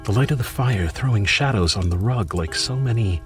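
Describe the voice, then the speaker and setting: An actor playing a grief-stricken, bookish widower who mutters anxiously to himself in a theatrical old-time radio horror monologue. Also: male voice, lowish voice, animated, brisk, natural voice. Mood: brooding. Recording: music under the voice. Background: prominent music.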